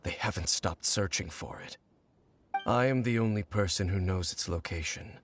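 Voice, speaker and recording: Low-voiced, stoic game warrior. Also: male voice, background noise. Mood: solemn, wary. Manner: measured, formal, solemn. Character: grave, guarded male warrior, formal and stoic. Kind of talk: video game voice acting. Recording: music playing behind the voice.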